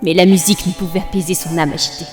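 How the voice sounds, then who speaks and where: A woman playing the solemn narrator of a dark cartoon tale, telling it in measured, scripted phrases. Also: fairly low pitch, steady tone, lightly acted. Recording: long reverb, music behind.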